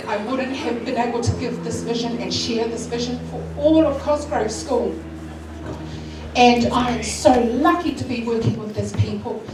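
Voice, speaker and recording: A woman with a New Zealand accent, addressing a school assembly and giving emotional thanks with frequent fillers. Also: a little high. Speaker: school culture week organiser. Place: school hall. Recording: echoey hall, far from mic. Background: hall ambience and noise.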